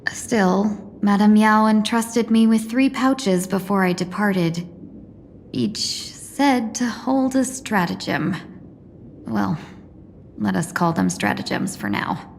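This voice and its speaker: A woman voicing a gracious, tactful female envoy in a video game, polite, formal and measured. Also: medium pitch, creaky, a little hiss or hum. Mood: calm, tactful. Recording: music under the voice.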